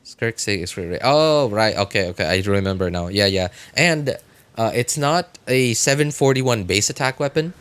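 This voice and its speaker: A man chatting casually to viewers as a game livestreamer, rambling and often repeating himself in a halting way. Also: on the low side, expressive, fairly bright tone, clear, resonant tone, no echo.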